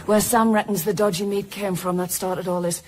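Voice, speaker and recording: A woman's voice: mid-range voice, fairly even intonation, normal speed, slight background noise, natural voice. An actress playing a dogged woman journalist and mother in a radio drama, wry and conversational. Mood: tired, chatty. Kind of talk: radio drama acting with first-person narration.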